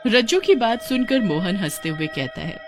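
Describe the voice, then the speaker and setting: Woman narrator, scripted cartoon storytelling, expressive intonation, faint background music. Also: medium pitch, moderate pace.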